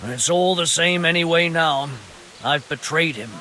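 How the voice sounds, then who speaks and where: A man's voice: fairly high pitch, a little slow, sharp tone, a little hiss or hum. An actor playing a fearful, fatalistic brigand's henchman in a medieval video game, speaking defensively. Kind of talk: video game cutscene acting.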